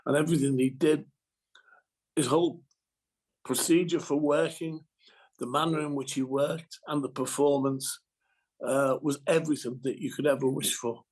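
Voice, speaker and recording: A man speaking as an interviewee, deliberate and articulate, rephrasing as he reminisces. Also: medium pitch, steady tone, a little mellow, poor audio. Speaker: TV writer interviewee.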